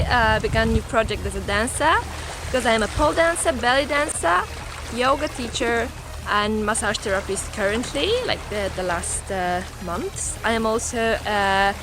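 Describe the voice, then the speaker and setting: High-ish Polish woman, background noise. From Poland. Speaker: dancer and yoga teacher. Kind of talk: casual video greeting.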